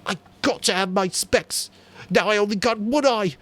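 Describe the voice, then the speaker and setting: A man voicing a whiny, fearful chubby schoolboy with glasses, shrill and pleading, in a dramatic audiobook reading. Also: normal speed, a bit bright. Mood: resentful.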